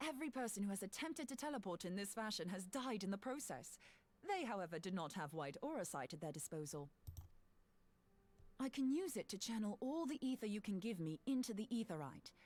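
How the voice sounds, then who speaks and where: A woman's voice: medium pitch, sing-song, a little fast, a little mellow, close to an everyday voice. A woman playing a bold, self-assured scholar in a fantasy video game, explaining things breezily. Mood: bold, confident.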